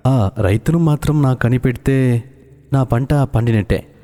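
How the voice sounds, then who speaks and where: A man's voice: mid-range voice, measured pace, warm tone, close to an everyday voice. An actor playing an earnest man in a children's cartoon fairy tale. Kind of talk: children's cartoon story dialogue. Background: background music.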